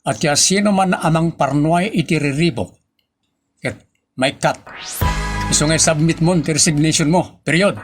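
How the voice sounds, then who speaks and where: A man's voice: fairly high pitch, normal speed, pauses often, music in the background, lightly acted. A man with a Filipino accent playing a stern, authoritative office boss who scolds his staff in a radio-style drama. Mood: firm, commanding. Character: stern male office boss, commanding and exasperated.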